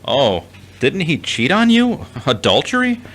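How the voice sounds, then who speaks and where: A man's voice: on the high side, wildly swooping pitch, unhurried, fairly bright tone, exaggerated voice. A man playing a pious, self-doubting adult son in an audio drama, earnest and a little hesitant. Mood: disapproving, puzzled.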